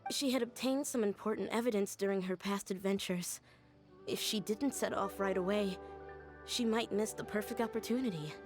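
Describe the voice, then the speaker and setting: A woman's voice: mid-range voice, normal speed, natural voice. An actress playing an earnest, hesitant young woman grieving a teacher, in a video game. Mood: wistful, puzzled. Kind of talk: English video game voice acting. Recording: music behind the voice. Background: background music.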